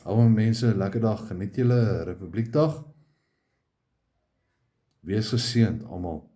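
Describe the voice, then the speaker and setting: Man, Afrikaans accent, native Afrikaans speaker, emphatic personal monologue, fairly low voice, dark tone. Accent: Afrikaans South African. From South Africa. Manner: halting and emphatic, repeats words. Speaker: vlogger.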